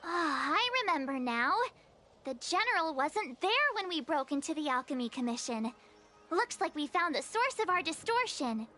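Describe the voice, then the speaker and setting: A woman voicing a bubbly, easily flustered young girl adventurer in an anime-style game, expressive and dramatic with hesitant stammers. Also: sits high, normal speed, exaggerated voice. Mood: puzzled. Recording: music playing behind the voice.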